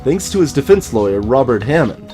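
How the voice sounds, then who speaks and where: Man, very lively intonation, mid pitch, wry let's play narrator, game music behind. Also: brisk. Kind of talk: dramatic read-aloud of game script with joking asides.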